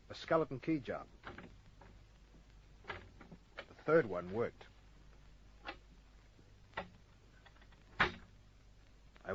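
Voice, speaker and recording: An actor playing a dry, world-weary private detective in an old radio crime drama, narrating and wisecracking. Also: male voice, medium pitch, slight background noise. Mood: dry narration. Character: hard-boiled, wisecracking male private detective. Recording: muffled old radio recording with music.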